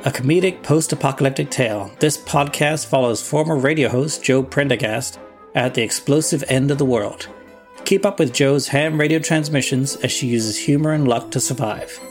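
A man's voice: medium pitch, normal speed. A man hosting a podcast, reading a scripted recommendation and credits. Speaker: podcast host. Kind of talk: scripted promo and credits narration. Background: music.

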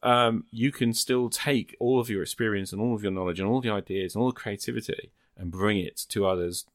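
A man's voice: lowish voice, a little fast, no room sound, clean studio sound. A man hosting a podcast in casual chat, rambling encouragingly as he thinks aloud. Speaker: podcast host.

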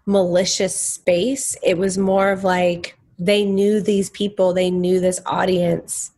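A woman's voice: on the low side, little pitch movement, slow, darkish tone. A young woman from Texas, a musician guest in an interview, speaking candidly and emphatically. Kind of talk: conversational interview.

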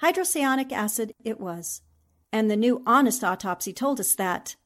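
A plucky newspaperwoman from a 1940s radio mystery, played by an actress. Her voice sits fairly high. She speaks earnestly and dramatically, with plenty of determination. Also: animated, moderate pace, close to an everyday voice. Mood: explaining.

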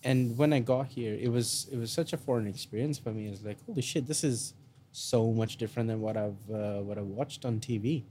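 A man's voice: medium pitch, fairly quick, mellow, slight background noise. A young man from India with an American-sounding accent, co-hosting a casual podcast chat and swearing freely. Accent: American-sounding, picked up in India. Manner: swears freely, laughs often. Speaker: podcast co-host.

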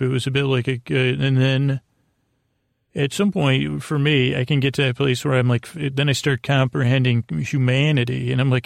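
Gravelly, rambling American male podcast host. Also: medium pitch, no echo, clean studio sound. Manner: halting, meandering, repeats and trails off. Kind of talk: rambling, soothing bedtime monologue.

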